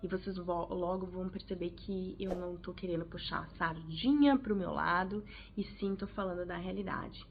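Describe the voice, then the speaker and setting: A woman's voice: on the low side, normal speed, vocal fry, few pauses, a little hiss or hum. A woman from Brazil with a Brazilian Portuguese accent, giving chatty, direct advice to her viewers in a casual vlog. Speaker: vlogger, English teacher. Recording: tinny phone-like sound.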